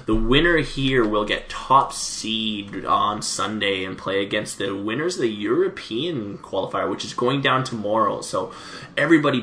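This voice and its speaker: A young man casting a video game match by himself, slightly hoarse after a long day, chatty with lots of fillers. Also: mid-range voice, sharp tone. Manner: chatty, many fillers, laughs at plays. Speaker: esports caster. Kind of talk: solo play-by-play game commentary.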